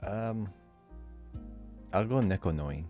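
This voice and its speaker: A man's voice: on the low side, animated, takes it slowly, warm tone, faint music behind. A man co-hosting a podcast as a quiz contestant, chatting casually and hesitantly reasoning his way to answers. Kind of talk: casual chat, thinking aloud.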